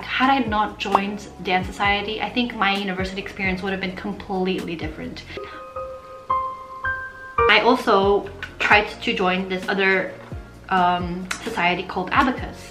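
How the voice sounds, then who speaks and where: Young woman, from Portugal, casual vlog chat, animated, roomy echo, faint music underneath. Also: mid-range voice, moderate pace. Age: young adult. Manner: chatty, animated, gestures with hands while talking. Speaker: vlogger. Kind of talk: casual talking-head vlog.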